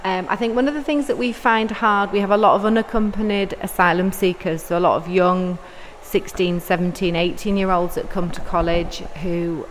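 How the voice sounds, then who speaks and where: A woman's voice: mid-range voice, steady tone, normal speed, few pauses. A woman, a college deputy head, answering interview questions earnestly with some restarts. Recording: long hall reverb with faint background noise.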